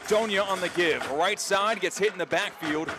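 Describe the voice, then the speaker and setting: A man's voice: sits high, normal speed. An American man doing live radio play-by-play of a football game, with emphatic, dramatic calls. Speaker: play-by-play announcer. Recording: clear outdoor stadium noise behind. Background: outdoor stadium ambience.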